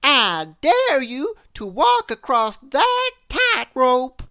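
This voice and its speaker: High, naughty little cartoon girl. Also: huge pitch swings, sharp tone, no echo, full character voice. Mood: challenging. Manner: emphatic, drawn-out declaiming. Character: mischievous, naughty little cartoon girl troublemaker, loud and taunting.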